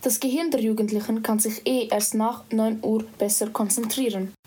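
Husky, mellow teenage girl. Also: fairly high pitch, steady tone, moderate pace. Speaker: student reporter. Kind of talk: scripted report.

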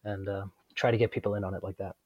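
A man raised abroad, answering interview questions as a guest game designer in a relaxed, matter-of-fact way with dry humor. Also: fairly low pitch, quick pace, no echo, muffled recording. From Netherlands, raised abroad.

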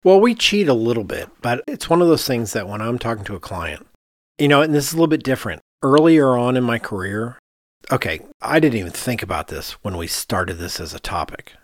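A man's voice: medium pitch, expressive, a little fast, no echo. Man thinking aloud on a casual podcast, dry sound. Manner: thinks aloud, acts out his own reasoning in quoted asides. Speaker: podcast host; architect.

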